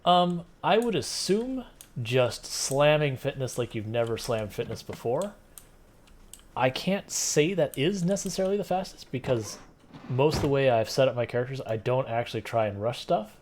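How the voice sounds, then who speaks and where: A male streamer with a soft, soothing voice at an ordinary middle pitch. He talks to his chat in a laid-back, meandering way, restarting sentences as he goes. Faint noise sits under the recording. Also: moderate pace. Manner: relaxed, rambling, many fillers and restarts. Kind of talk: casual gameplay stream chat.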